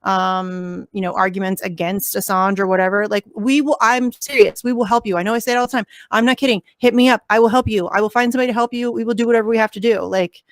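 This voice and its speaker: A woman hosts an activist livestream over a video call, talking in an emphatic, filler-packed rush. Her voice is going hoarse and keeps cracking. The sound is dry and close, with no room around it. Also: mid-range voice, steady tone, fast, hardly any pauses, no room sound. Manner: emphatic, filler-heavy, passionate. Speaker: vigil livestream host. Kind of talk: casual livestream chat.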